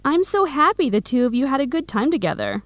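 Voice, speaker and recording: A woman playing a supportive, hardworking young American friend in a scripted radio drama, conversational and clearly enunciated, with an American accent. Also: sits high, sing-song, fast talker, fairly bright tone, no room sound. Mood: friendly. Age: young adult.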